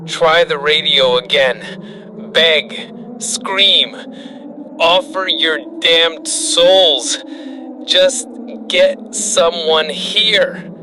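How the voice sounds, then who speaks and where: A man voicing a battered, defiant outpost leader with a raw rasp, giving grim, terse orders in a post-apocalyptic audio drama. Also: a little high, very slow, pushed and bright, slight background noise, exaggerated voice, low-quality recording. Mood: grim commanding. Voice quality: raw, rasping. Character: battered, defiant male outpost leader making last stand.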